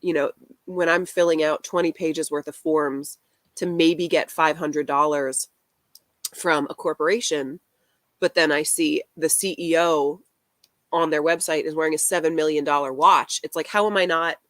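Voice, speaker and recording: Deadpan woman, lowish voice, dry close sound, wry about fashion. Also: moderate pace, no room sound. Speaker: fashion commentary creator. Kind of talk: casual chat.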